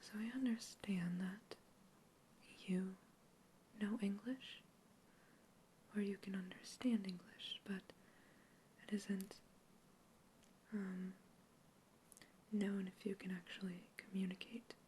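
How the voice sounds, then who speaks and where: A young woman whispering close to the mic in a sci-fi ASMR roleplay, calmly questioning and reassuring as a scientist observing an alien. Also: medium pitch, slow, pauses often, slight background noise, rough recording. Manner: soft whisper throughout, calm and reassuring. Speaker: ASMR creator. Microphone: close ASMR microphone.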